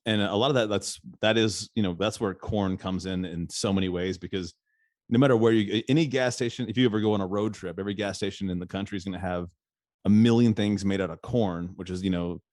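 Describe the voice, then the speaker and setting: A man's voice: fairly low pitch, steady tone, quick pace, darkish tone, no echo, studio quality. A man hosting a podcast, talking in a loose, rambling way and laughing often. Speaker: podcast host. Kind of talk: casual interview chat.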